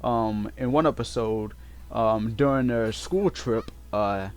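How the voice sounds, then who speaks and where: A man giving casual, unscripted game commentary in a halting way, often repeating and correcting himself as he goes. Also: lowish voice, a little mellow, smooth. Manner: halting, repetitive, self-correcting. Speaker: let's play host. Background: game music.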